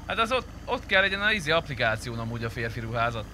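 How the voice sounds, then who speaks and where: A man's voice: medium pitch, sing-song. A man chatting casually and informally during a roleplay game, swearing freely. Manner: informal, foul-mouthed. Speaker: gaming streamer. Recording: loud vehicle and game engine noise.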